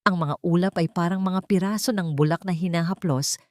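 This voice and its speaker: A woman voicing a warm, hopeful young female caregiver in a romance audiobook, tender and earnest. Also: fairly low pitch, fairly even intonation, brisk, no room sound, natural voice.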